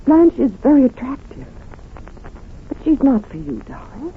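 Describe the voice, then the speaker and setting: An actress playing a devoted, possessive wife in an old radio mystery, speaking with quiet, insistent intensity. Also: female voice, high-pitched, big pitch swings, a little slow, slightly rough, heavily acted. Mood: pleading, knowing. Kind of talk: 1940s radio drama acting. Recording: muffled, noisy old radio recording.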